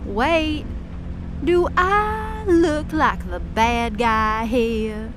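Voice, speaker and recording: A woman playing a vain, playful demoness villain in an audio drama, with a showy, mocking, drawn-out delivery. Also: fairly high pitch, over music. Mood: put out.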